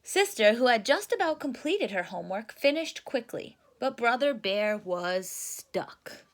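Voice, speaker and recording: Slow, animated woman reading to children. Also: a little high. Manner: expressive, careful, explanatory. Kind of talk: children's storybook read-aloud with simple explanations.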